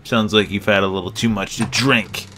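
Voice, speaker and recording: A man's voice: lowish voice, steady tone, moderate pace. A man giving reactive let's play commentary, muttering and repeating himself as he plays. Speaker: gameplay commentator. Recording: commentary over loud game music.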